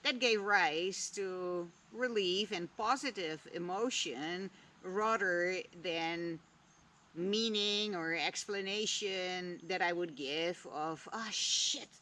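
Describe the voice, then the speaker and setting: Slow, musing Dutch woman. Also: fairly low pitch, pushed and bright, slight background noise. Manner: slow, deliberate, musing with long pauses; candid, drops casual swearing. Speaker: spiritual vlogger. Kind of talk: reflective personal talk.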